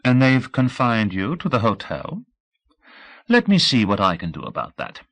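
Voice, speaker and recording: A man's voice: mid-range voice, huge pitch swings, normal speed, fairly bright tone, voice pushed up, exaggerated voice. Man putting on a brassy, flirtatious woman fixer. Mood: brisk, scheming. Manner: breezy, flirty, slangy.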